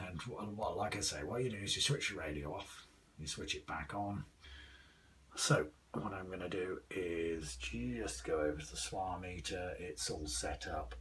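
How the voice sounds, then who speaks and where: Man, low voice, bright edge, casual gadget demo, halting thinking-aloud delivery, faint background noise. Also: recorded from a distance, low-quality recording. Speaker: radio hobbyist reviewer. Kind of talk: casual hands-on product demonstration.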